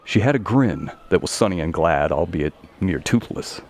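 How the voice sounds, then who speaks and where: A man reading scripted narration for a dark mystery story. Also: sits low, animated, moderate pace. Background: faint music.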